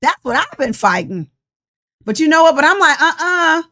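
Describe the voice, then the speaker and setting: A woman's voice: high-pitched, very animated, moderate pace, sharp tone. A sixty-year-old woman coach, giving a passionate, tired, preachy live talk straight to her viewers. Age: 60s. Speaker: life coach. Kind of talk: motivational live-stream talk.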